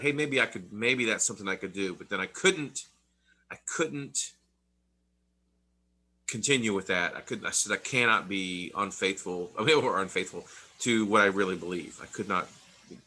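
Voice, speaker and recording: A man's voice: lowish voice, moderate pace, pushed and bright. A middle-aged man telling his story in a casual interview, rambling with many restarts and laughing between thoughts. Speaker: interview guest, Army veteran.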